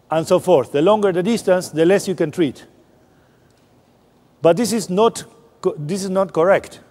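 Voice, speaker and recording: A man's voice: fairly high pitch, mellow. A man giving a public science lecture, explaining informally and often restarting his sentences. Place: large lecture hall. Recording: long hall reverb.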